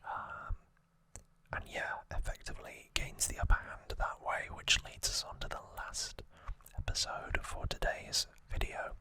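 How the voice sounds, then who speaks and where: Hushed, breathy ASMR whisperer. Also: unhurried. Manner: soft, hesitant, explanatory. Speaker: ASMR host. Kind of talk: whispered ASMR trivia recap.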